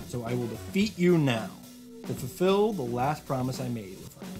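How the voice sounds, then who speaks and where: A man voicing a desperate, determined young hero, narrating a visual novel in an intense, halting, solemn way. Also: medium pitch, natural voice.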